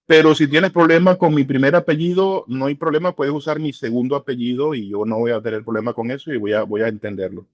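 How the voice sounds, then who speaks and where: Middle-aged guy, interview guest, slow and full of ums, dry close mic. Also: mid-range voice, steady tone, no echo. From Venezuela. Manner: unhurried, many hesitations and fillers. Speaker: church regional director, guest. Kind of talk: relaxed interview conversation.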